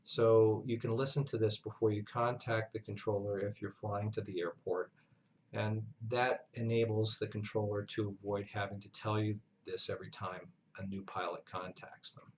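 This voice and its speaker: A man giving an instructional lesson as a flight instructor, speaking in a measured, halting way with pauses mid-sentence. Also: on the low side, flat delivery, slight background noise. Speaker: flight instructor, university lecturer. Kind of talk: instructional narration, explanatory lesson.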